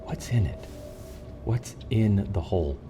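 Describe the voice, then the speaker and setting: A man playing a nervous, wary paranormal investigator in an audio drama, talking in a halting, casual way. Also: on the low side, lively intonation. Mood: nervous, puzzled. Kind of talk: scripted audio drama acting. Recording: music under the voice.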